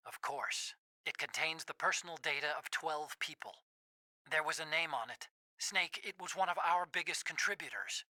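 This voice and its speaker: A nervy, bookish man giving intel in a game. His voice is fairly high and very sharp, with a hesitant, grave delivery, recorded close and dry. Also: normal speed, dry recording. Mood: urgent report. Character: nervy, bookish male tech-support ally, hesitant and grave. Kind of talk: dramatic game radio-call dialogue.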